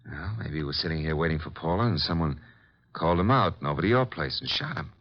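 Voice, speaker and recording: Muffled old radio drama with a streetwise private eye. He has a low, deep voice and talks in casual, clipped phrases, watchful and thinking aloud. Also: expressive, fast, heavily acted. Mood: puzzling it out. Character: streetwise, watchful male private detective's legman. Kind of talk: old-time radio detective drama acting. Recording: dull, lo-fi old radio recording.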